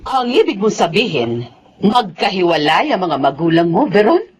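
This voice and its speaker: A haughty middle-aged Filipino mother in a radio drama. She looks down on her son's sweetheart and her pitch swings freely as she speaks. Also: very animated, moderate pace. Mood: probing.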